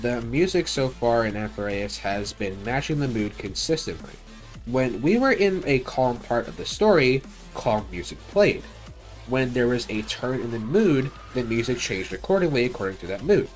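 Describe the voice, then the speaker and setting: A man delivers an opinionated video game review in a conversational, blunt style with casual swearing. His pitch sits in the middle for a man and swings around in an animated way. Faint music plays behind his voice.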